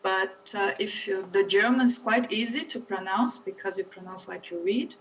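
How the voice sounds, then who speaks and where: A woman's voice: medium pitch, moderate pace. Chatty woman, off-mic. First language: Portuguese. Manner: chatty, laughs often, many restarts. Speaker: guest. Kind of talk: casual conversation. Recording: distant mic, faint background noise.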